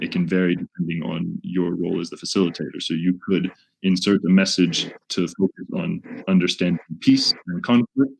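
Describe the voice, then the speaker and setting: Very low-voiced man leading a webinar. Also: gravelly, darkish tone. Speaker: webinar facilitator. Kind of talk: unscripted webinar teaching, posing questions to participants.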